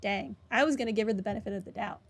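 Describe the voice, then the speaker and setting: A woman's voice: medium pitch, racing, faint music behind. A woman giving casual, wry commentary as she plays through a video game. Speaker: gamer doing a playthrough. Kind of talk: casual game commentary, reading on-screen text aloud.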